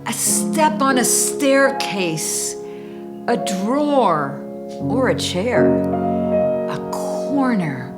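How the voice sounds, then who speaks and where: A woman hosting and reading children's poems aloud, with deliberate, emphatic phrasing made for young listeners. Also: fairly high pitch, sing-song, over loud music. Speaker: host and poetry reader. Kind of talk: expressive read-aloud of children's poetry.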